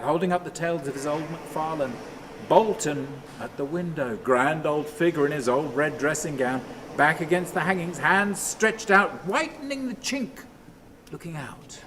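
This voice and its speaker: A brooding, lonely man, played by a male actor, delivers a radio drama monologue in halting, repeated fragments. His voice sits a little high, and there is clear ambient noise behind him. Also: natural voice. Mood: haunted, brooding. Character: troubled, lonely man obsessively telling himself stories.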